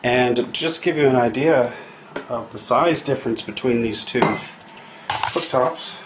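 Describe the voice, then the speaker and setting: A man's voice: mid-range voice, a little slow, background noise. A middle-aged man from Canada, talking casually through a kitchen fix in a how-to video, rambling and thinking aloud with lots of fillers. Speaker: DIY maker vlogger. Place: home kitchen.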